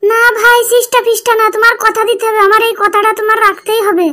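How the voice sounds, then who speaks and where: A woman voicing an aggrieved, pleading young village wife in a comedy cartoon, with a pitched-up voice. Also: little pitch movement, fast, sharp tone. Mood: insistent. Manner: plaintive, insistent.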